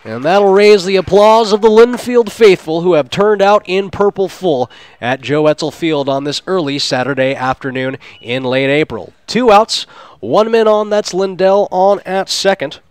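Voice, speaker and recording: A man's voice: sits high, normal speed, smooth, no room sound. A man calling a baseball game live on radio as play-by-play announcer, with descriptive, flowery phrasing. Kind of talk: live sports radio commentary.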